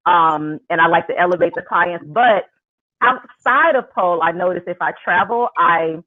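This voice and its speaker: A man with a Southern US accent, a pole instructor, chatting relaxed on a panel over a video call. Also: high-pitched, normal speed. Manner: relaxed, conversational. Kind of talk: casual panel discussion. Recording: dull lo-fi video call audio.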